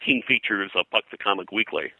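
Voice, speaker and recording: Deep, bright-voiced older man reminiscing. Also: dry recording, rough recording. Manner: halting, digressive, detail-heavy recall. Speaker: pop culture historian and comics colorist, guest. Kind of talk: rambling reminiscence in an interview.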